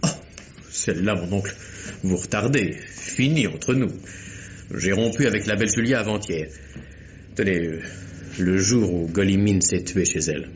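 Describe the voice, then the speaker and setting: An actor playing a polished, formal young society nephew, suave but defensive, in a radio mystery drama style. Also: male voice, sits low, normal speed, long pauses, a little hiss or hum, natural voice. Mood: puzzled, dismissive. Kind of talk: 1950s French radio mystery acting.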